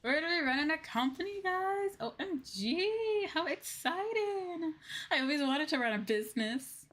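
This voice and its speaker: A woman's voice: high-pitched, animated, moderate pace, fairly bright tone. A woman streaming a game with casual, cheerful and encouraging commentary. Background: game guitar music.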